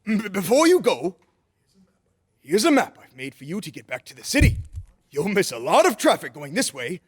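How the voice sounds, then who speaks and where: A man acting a kindly, jolly old Santa figure in an old-time radio drama, with an earnest, theatrical, gentle manner. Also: high-pitched, wildly swooping pitch, moderate pace, slightly rough, full character voice.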